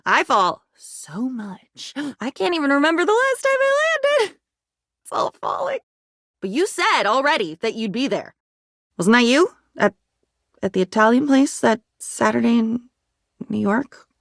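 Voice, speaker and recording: A woman's voice: a little high, big pitch swings, a little slow, gravelly, fairly bright tone, dry recording, clean studio sound, exaggerated voice. An actress playing an unhinged, dreamy woman with a volatile temper who rambles disjointedly in a video game. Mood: forlorn, drifting. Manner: disjointed rambling, swinging between wistful and agitated. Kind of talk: video game character voice acting.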